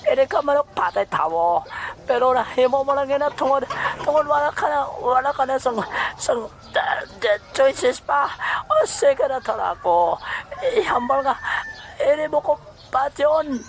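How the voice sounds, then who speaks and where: An actor playing a male gangster turned rescuer in a comic audio drama, speaking in broken, repetitive, halting phrases. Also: high-pitched, lively intonation, a little hiss or hum. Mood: pleading, insistent. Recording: music behind the voice.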